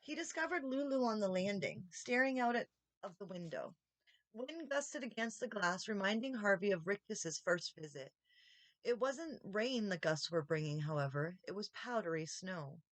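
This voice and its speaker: A woman's voice: mid-range voice, expressive, moderate pace, dry recording. Woman reading a story, dry sound. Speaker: audiobook reader, channel host. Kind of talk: expressive storybook narration.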